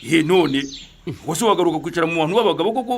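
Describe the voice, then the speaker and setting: A man's voice: fairly high pitch, lively intonation, very fast, a little hiss or hum. A man playing a mocking, sceptical villager in a radio drama, teasing and scornful of others' views. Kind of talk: Kinyarwanda radio drama acting.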